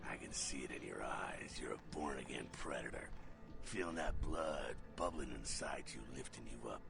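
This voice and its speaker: An actor playing a brash, streetwise vampire mentor in a video game, with a gravelly voice and salty, theatrical, punchy delivery. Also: male voice, extremely low pitch, normal speed, music in the background, exaggerated voice. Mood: low, savoring. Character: brash, wild older male vampire mentor, gleefully streetwise. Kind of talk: video game character acting.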